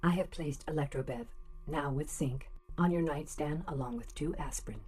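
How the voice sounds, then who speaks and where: Polite robot house computer lady, deep mellow voice, no emotion, formal, radio drama. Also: moderate pace, slightly rough. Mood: serenely formal. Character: polite, literal-minded female computer of an automated home.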